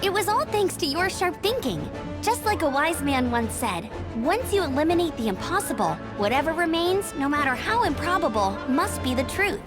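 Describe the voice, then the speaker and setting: An upbeat, daring young woman from a video game, with a very high, lively voice. She is chatty and eager, like someone who loves a thrill. Music plays clearly behind her. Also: normal speed. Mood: confident, eager. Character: bubbly, bold young woman, a daring glider champion. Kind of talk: animated video game voice acting.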